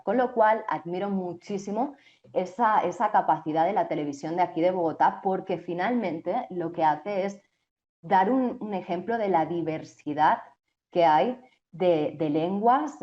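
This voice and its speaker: A woman's voice: on the low side, darkish tone, muffled recording. A young woman from Spain with a Spanish accent, a journalist answering interview questions thoughtfully, with frequent restarts and fillers. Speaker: TV journalist and co-host.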